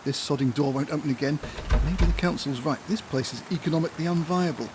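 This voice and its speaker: A British actor playing a grumpy, sarcastic small-town office worker in a comedy audio drama, grumbling and swearing sardonically. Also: male voice, on the high side, quick pace, noisy background, lightly acted. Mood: grumbling, exasperated.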